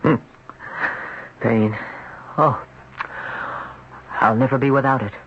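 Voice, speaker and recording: An actor playing a troubled young man who tells his dark story from a hospital bed, with a weary, brooding delivery in 1970s radio drama style, on a dull vintage broadcast recording. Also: medium pitch, takes it slowly, a little hiss or hum. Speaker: lead character, radio drama.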